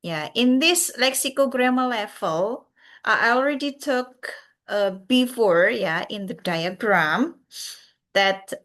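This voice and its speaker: A woman from Central Java with a Javanese accent, giving a recorded English lecture, pausing often with "uh" and "yeah" and addressing the class as everyone. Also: a little high, animated, fairly bright tone. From Central Java, Indonesia. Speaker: university lecturer.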